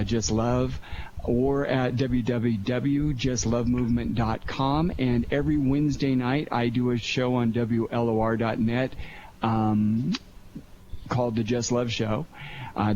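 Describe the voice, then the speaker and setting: A man's voice: medium pitch, a little mellow. Earnest man on a noisy line. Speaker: guest actor and producer. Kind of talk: casual interview talk. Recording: dull lo-fi line with clear noise.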